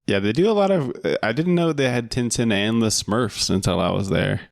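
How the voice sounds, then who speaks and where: A man co-hosting a podcast in casual chat, with dry, wry, deadpan asides. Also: mid-range voice, normal speed, gravelly, no echo. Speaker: podcast co-host.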